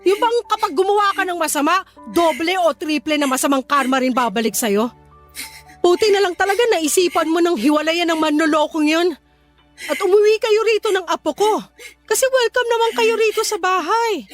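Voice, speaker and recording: A woman's voice: very high-pitched, brisk, faint music behind. A woman playing a feisty, protective middle-aged Filipina mother in a radio drama, blunt and scolding, with a Filipino accent. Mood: indignant, protective.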